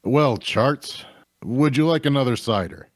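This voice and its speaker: An actor playing an easygoing, practical tavern keeper in a fantasy drama read, casual and matter-of-fact. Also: male voice, medium pitch, lively intonation, measured pace, no room sound, clean studio sound, lightly acted. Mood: friendly.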